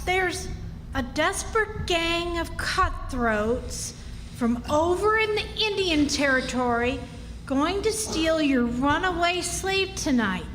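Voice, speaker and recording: A woman playing an anxious, excitable American country housewife in a live radio drama, with a fretful, theatrical delivery. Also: very high voice, slow pace, heavily acted. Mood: alarmed reading. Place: historic club hall, live performance. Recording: echoey hall, faint background noise.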